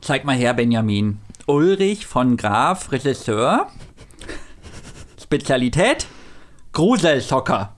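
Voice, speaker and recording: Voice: mid-range voice, very animated, slow pace, pauses often. An adult as a simple, plain-spoken young boy. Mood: matter-of-fact. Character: naive, not very bright boy, protective friend.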